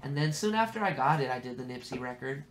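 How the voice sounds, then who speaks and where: A man's voice: medium pitch, quick pace. A man from the Bay Area with a Californian accent, telling stories casually and in slang as a podcast guest, acting out bits of dialogue as he goes. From San Francisco Bay Area, California, US. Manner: slangy, animated storytelling with acted-out quotes. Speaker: musician interviewed as guest.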